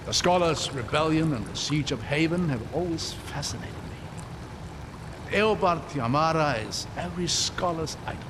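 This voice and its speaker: A man playing a gentle, bookish scholar in a video game, articulate, curious and earnest. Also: fairly high pitch, animated, measured pace, noisy background. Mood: curious, enthusiastic. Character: gentle, bookish male scholar, eager and grieving father. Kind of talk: video game cutscene acting. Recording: long cavernous reverb, music behind.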